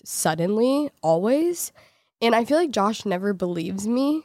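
A woman's voice: medium pitch, big pitch swings, a little slow, darkish tone, dry recording, studio quality. A woman co-hosting a podcast, chatting casually and telling a story, sounding nasal from a cold. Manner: chatty storyteller, laughs often, teasing. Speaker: podcast co-host. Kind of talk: casual chat.

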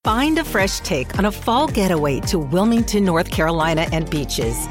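Casting: female travel-ad voice, animated intonation, scripted delivery, prominent music bed. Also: on the low side, moderate pace. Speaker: advertisement announcer.

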